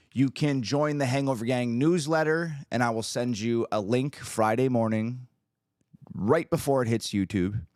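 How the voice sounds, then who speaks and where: A man hosting his own livestream, a musician chatting casually with viewers, upbeat and hyped, his voice a little raspy from screaming the night before. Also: mid-range voice, dry recording, studio quality. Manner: upbeat, hyped, talks to the chat.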